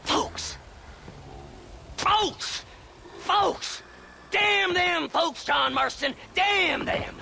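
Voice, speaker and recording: Shrill, creaky, unhinged loner. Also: male voice, drawn-out words, pauses often, sharp tone, a little hiss or hum, heavily acted. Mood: manic, furious. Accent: American. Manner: erratic, swinging from mutters to shrieks. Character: unhinged grave-robbing male loner, wild and cackling. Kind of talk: video game cutscene acting.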